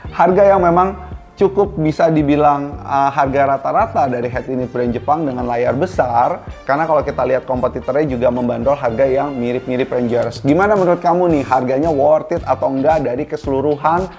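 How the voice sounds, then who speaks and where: A man's voice: mid-range voice, darkish tone. A man with a colloquial Jakarta Indonesian accent, presenting a casual product review and talking informally straight to viewers. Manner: addresses viewers directly, informal. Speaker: product reviewer, video host.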